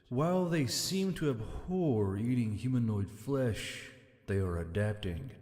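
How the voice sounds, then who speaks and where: Deep, cavernous, ancient male spirit played by a man. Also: darkish tone. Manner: formal, archaic storytelling, easily exasperated. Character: ancient aloof sentient torque, exasperated, storytelling male spirit. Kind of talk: scripted audiobook character acting. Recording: long reverb, music behind.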